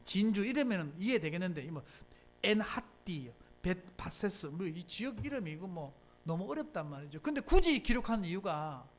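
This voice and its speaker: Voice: mid-range voice, sing-song, normal speed. A pastor, preaching a sermon in a church in a conversational, emphatic way and often asking rhetorical questions. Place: church sanctuary.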